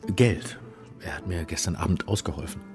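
An actor playing a bookish, polite man in a German radio play, halting and a little evasive. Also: low-pitched, over music. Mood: absent-minded, halting. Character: hesitant, polite, bookish man, reluctant acquaintance.

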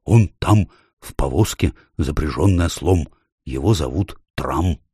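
A man's voice: rumbling low, expressive, measured pace, mellow, husky, no echo, clean studio sound, heavily acted. A man voicing a fussy, earnest female talking owl in a storybook, given to hooting. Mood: excited, helpful.

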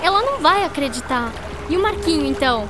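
A woman playing a curious, excitable young schoolgirl with youthful, slangy enthusiasm in a radio drama. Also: high-pitched, quick pace, very noisy. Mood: gleeful.

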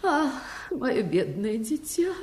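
A woman's voice: fairly high pitch, sing-song, takes it slowly, darkish tone. An actress playing a fussy, exasperated middle-aged widowed queen in a fairy-tale audio play, theatrical and gushing. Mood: exasperated, fretful. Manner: theatrical, gushing, with nervous fussiness.

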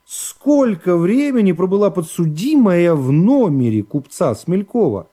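A man's voice: sits high, sing-song, a little slow, mellow, full character voice. A man voicing a pompous, falsely naive prosecutor who draws out formal, insinuating questions in a radio reading. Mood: insinuating, smug. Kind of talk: dramatised literary reading.

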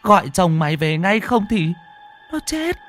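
A man's voice: sits high, big pitch swings, unhurried, a bit bright, soft background music, pitched above the performer's own voice, full character voice. A man voicing a terrified young village wife who stammers in panic. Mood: panicked.

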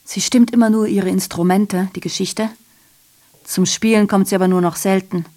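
An actress playing a female messenger in a literary radio drama monologue, recounting atrocity with biting irony in a deliberate, declamatory way. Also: mid-range voice, fairly even intonation, dark tone, lightly acted. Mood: wry, deliberate.

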